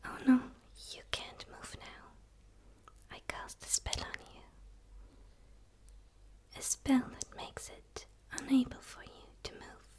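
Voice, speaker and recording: A woman playing a curious, eager alien studying a human in a soft, soothing ASMR roleplay whisper, close to the microphone. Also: lightly acted. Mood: commanding, hushed. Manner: soft, slow, soothing, breathy delivery. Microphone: close microphone, speaking straight to camera.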